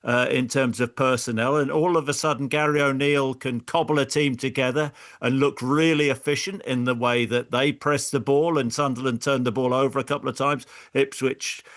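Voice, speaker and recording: Halting, emphatic male football pundit. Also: mid-range voice, fairly even intonation, fairly bright tone. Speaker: former player pundit. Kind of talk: football debate.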